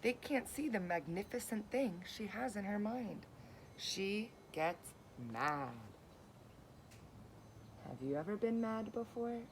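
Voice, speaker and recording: Woman reading a storybook aloud, noisy recording. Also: mid-range voice. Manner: expressive, deliberate phrasing, pauses to question the young listeners. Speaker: teacher reading a picture book aloud to children. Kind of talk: children's storybook read-aloud.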